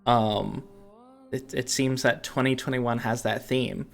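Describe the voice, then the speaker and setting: A man's voice: medium pitch, fairly even intonation, slow, vocal fry. A man hosting a podcast, chatting casually about games and thinking aloud in a rambling way. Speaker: podcast host.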